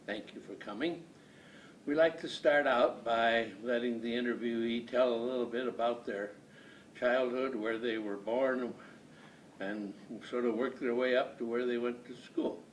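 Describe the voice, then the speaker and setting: A man's voice: medium pitch, steady tone, unhurried, slight background noise, low-quality recording. A man interviewing a guest for an oral history, giving prompts and short responses.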